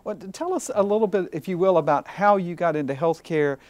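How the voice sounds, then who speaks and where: A man hosting a university lecture series, giving the introduction and interviewing the guest with relaxed pauses and many "uh"s. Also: high voice, no room sound. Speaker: university host and moderator. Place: university lecture hall with audience.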